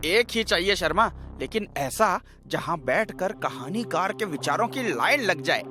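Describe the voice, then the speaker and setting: Man voicing book publisher, wry, bantering, businesslike, high-pitched for a man, conversational cartoon acting. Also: animated, measured pace, bright, forceful tone, exaggerated voice. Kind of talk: conversational animated story acting.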